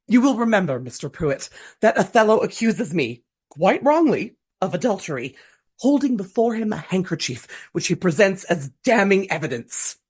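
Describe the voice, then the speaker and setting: An actress in a radio play voicing a gushing, melodramatic amateur stage actress of the Regency era, speaking with theatrical earnestness. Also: female voice, mid-range voice, big pitch swings, moderate pace, a little mellow. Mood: earnest, dramatic. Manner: theatrical, breathy earnestness, dramatic flourishes.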